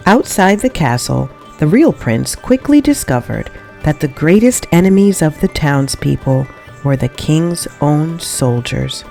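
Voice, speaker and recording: A woman reading a children's story aloud as an expressive storybook narrator. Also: low voice, a little slow, gravelly, soft background music. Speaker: storybook reader.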